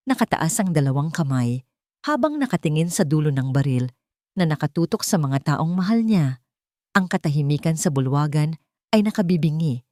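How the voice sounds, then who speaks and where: Woman narrator, lowish voice, suspenseful scripted drama, very dry sound. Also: warm tone, no room sound. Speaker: story narrator.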